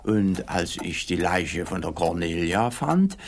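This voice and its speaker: A low-pitched middle-aged East German man in a comic radio play. He is an odd, guileless client who rambles hesitantly and takes things literally. The track has noticeable noise and small clicks. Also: expressive, slow, exaggerated voice. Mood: sheepish, hesitant.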